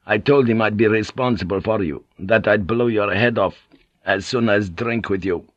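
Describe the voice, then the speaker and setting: A man voicing a boisterous, swaggering sea pilot who talks in a loud, jovial, profane way, on a dull cassette audiobook tape. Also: lowish voice, normal speed, no room sound, lightly acted.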